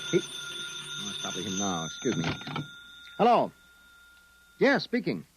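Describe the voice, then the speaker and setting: An actor with an American accent playing a brusque, wary private investigator in 1950s radio drama style, giving orders in slangy, commanding speech. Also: male voice, fairly low pitch, wildly swooping pitch, measured pace, noisy background. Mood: businesslike.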